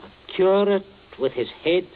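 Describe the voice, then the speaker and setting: A calculating middle-aged patriarch in an old radio thriller. His pitch swings widely between stammering geniality and deliberate menace. The sound is narrow and tinny, with light hiss. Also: animated. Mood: brooding, deliberate. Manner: stammering when flustered, deliberate. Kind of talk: 1940s radio drama acting. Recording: muffled, hissy 1940s radio broadcast.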